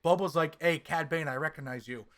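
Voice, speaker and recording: A man co-hosting a casual pop-culture podcast, talking in an excitable, hyperbolic fan style with plenty of swearing. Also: fairly high pitch, brisk. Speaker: podcast co-host.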